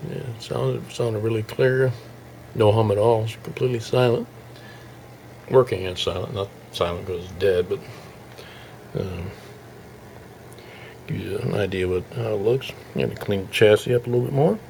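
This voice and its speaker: A man giving a casual, halting walkthrough as a hobbyist showing his restoration work, thinking aloud as he goes. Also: on the low side, gravelly, darkish tone, a little hiss or hum, low-quality recording. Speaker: hobbyist radio restorer presenting his project. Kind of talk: casual informal video walkthrough.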